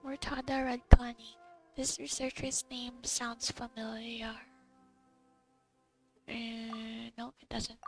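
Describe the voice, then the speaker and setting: A woman's voice: a little high, completely flat delivery, slow pace, long pauses, a little mellow. A woman casually commenting on a game and reading bits aloud, rambling to herself. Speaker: gamer recording a playthrough. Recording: game music behind the voice. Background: video game music.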